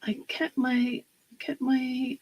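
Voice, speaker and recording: Woman, a little hoarse, easygoing crafting livestream host; higher voice, slow and meandering. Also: monotone, no room sound, lo-fi sound. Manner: chatty, rambling, pauses with fillers while working. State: slightly hoarse from a cold. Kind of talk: casual livestream chat while crafting.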